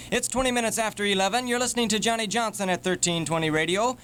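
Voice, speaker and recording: A man's voice: sits high, quick pace, a bit bright, a little hiss or hum. An American man working as a radio DJ and news reader, speaking in 1960s AM radio style on an old tape recording. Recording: 1968 AM radio tape, music behind. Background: music.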